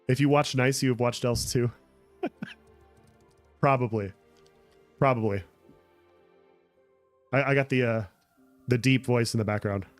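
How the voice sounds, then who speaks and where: A man's voice: mid-range voice, steady tone, takes it slowly, pauses often, dry recording, soft background music. A man chatting casually on a gameplay livestream, answering viewer comments as he plays. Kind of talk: casual gameplay stream chat.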